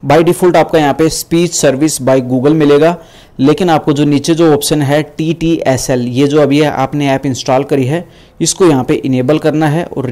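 A man's voice: on the high side, dark tone. A man in his thirties with an Indian accent, hosting a casual tutorial in a conversational, explanatory way. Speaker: tech video host. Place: small indoor desk studio.

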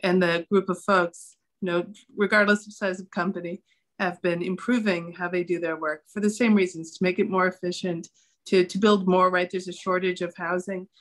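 A woman's voice: on the low side, flat delivery, moderate pace. A middle-aged woman speaking as a panelist on a video call, explaining her view in a thoughtful, informal way.

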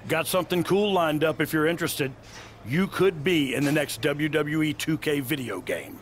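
An actor playing a laid-back male wrestling veteran in a video game cutscene, speaking in a casual, low-key, persuasive way. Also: moderate pace, slight background noise, natural voice.

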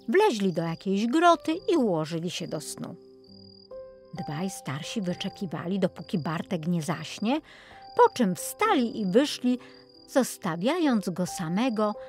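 A woman narrating a children's fairy tale as an expressive audiobook storyteller. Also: on the low side.

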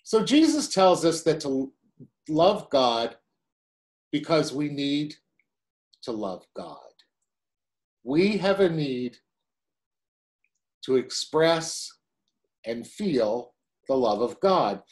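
An older man in his sixties gives a sermon from his desk into a webcam. His pitch moves up and down with lively, animated swings. He keeps the tone conversational and drops in dry, wry asides. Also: extremely slow, long pauses. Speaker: pastor.

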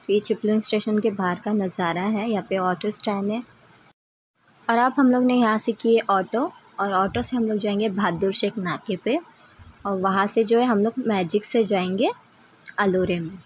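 A woman's voice: mid-range voice, steady tone, moderate pace, a little hiss or hum. A woman narrating a casual travel vlog in a chatty way, speaking directly to her viewers. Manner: chatty, addresses viewers as friends. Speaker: travel vlogger.

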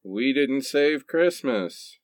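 A man's voice: mid-range voice, animated, slow, no echo, clean studio sound. A man playing a goofy, reluctant holiday hero in a comic radio play. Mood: bewildered.

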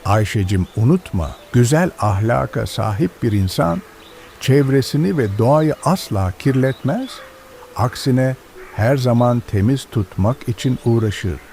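A man's voice: mid-range voice, expressive, a little slow, vocal fry, a little hiss or hum. An actor playing a kind, pious old village grandfather who teaches patiently in a children's cartoon. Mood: gently moralizing. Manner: instructive, gentle moralizing. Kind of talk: educational children's cartoon dialogue.

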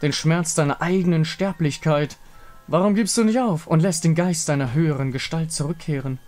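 A man voicing a grandiose, lovesick undead villain king in a slow, theatrical, menacing manner. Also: on the high side, darkish tone, faint music behind, close to an everyday voice. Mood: mock sympathy. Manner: slow, drawn-out, theatrical, pompous.

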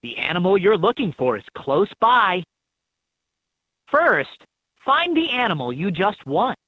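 A woman's voice: mid-range voice, big pitch swings, unhurried, husky, dry recording. A woman voicing an encouraging instructor in a children's animal game, giving scripted instructions in slightly dull game audio. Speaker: educational game voice.

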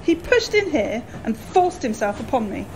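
A woman's voice: on the high side, sing-song, moderate pace. A woman playing a prim, eager, meddling young English lady in a comic radio play. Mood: indignant. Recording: clearly noisy homemade recording.

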